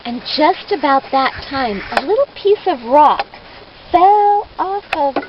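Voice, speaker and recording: A woman tells a children's story in a very high voice, with simple, dramatic phrasing. The recording is muffled, with clear noise behind her. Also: expressive, slow. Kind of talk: storytime narration retelling an allegory. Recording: muffled, noisy amateur recording.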